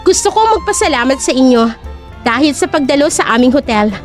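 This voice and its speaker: A woman voicing a calm, self-assured, wealthy woman hotel owner speaking formally in an audio drama. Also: sits high, a little fast, soft background music. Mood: calm, authoritative. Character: confident wealthy young woman hotel owner giving a poised speech. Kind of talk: audio drama character speech.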